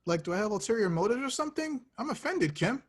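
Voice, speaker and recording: A man playing a defensive, awkward young slacker in a table read, speaking casually and deadpan. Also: very high voice, fast talker, breathy edge, full character voice.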